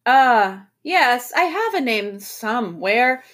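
A woman acts out a silly, pear-shaped male creature from a children's storybook. He is mournful and absent-minded, drawing his words out slowly in a high, dopey sing-song. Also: sing-song, a bit bright, full character voice. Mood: vague, absent-minded.

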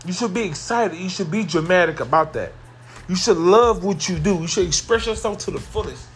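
A man hosting his own podcast, talking earnestly and repetitively about positivity, with a carrying voice that rises into excited emphasis. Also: sits high, a little fast, a little hiss or hum. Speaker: podcast host. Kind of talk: motivational solo podcast monologue.

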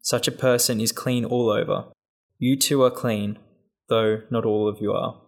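A man reading a Gospel passage aloud in a measured, solemn way. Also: medium pitch, fairly even intonation. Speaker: scripture reader.

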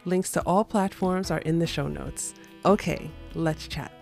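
A woman's voice: fairly low pitch, measured pace, vocal fry, darkish tone, soft background music. A woman hosting a podcast interview, upbeat and welcoming as she introduces and questions her guest. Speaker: podcast host.

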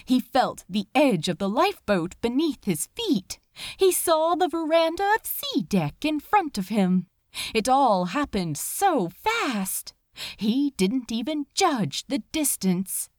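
A woman's voice: high-pitched, very animated, slow pace, no echo, clean studio sound. A woman reading a story aloud as a dramatic, emotive narrator with a sense of urgency. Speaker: fan fiction reader.

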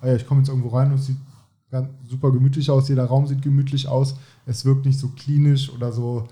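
A man's voice: medium pitch, level tone, quick pace, mellow. A man hosting a podcast, talking in long rambling sentences with a frequent tag "ne?". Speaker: podcast host. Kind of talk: casual interview chat.

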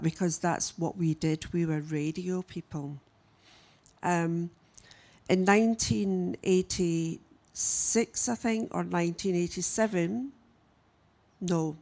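A woman, giving a church message as a missionary and telling her story in a halting, unhurried way with frequent pauses. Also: fairly low pitch, gravelly, mellow. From Scotland. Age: 60s. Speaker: guest speaker, missionary. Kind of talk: church message, personal testimony.

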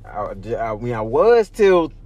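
A man's voice: medium pitch, big pitch swings, slow pace, darkish tone, muffled recording. A man in his early twenties co-hosting a podcast, talking in a laid-back, slangy way. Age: 20s. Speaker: podcast co-host. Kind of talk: casual chat.

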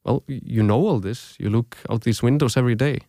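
The host you would hear in earbuds on a thoughtful podcast: a young Icelandic man, native in Icelandic, telling his story at a measured pace in a dry, echo-free booth. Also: mid-range voice, warm tone. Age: young adult. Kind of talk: scripted podcast narration.

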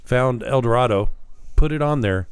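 A man's voice: mid-range voice, normal speed, smooth, no echo. A man co-hosting a podcast, chatting casually and rambling enthusiastically with frequent restarts. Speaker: podcast co-host. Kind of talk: casual chat.

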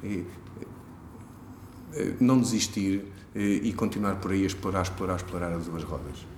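A man with a very low voice asks long, rambling questions, often repeating himself as he searches for words. The room around him is echoey. A faint background noise runs under his voice. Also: moderate pace, a little mellow. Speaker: interviewer, host. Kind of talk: casual interview questions.

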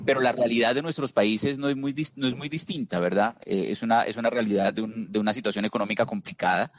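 A man with a Colombian accent and a fairly low voice, walking through market views in a steady, measured way. He fills pauses with frequent "eh"s and restarts phrases. The sound is dry and close. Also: no room sound. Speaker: investment strategist guest.

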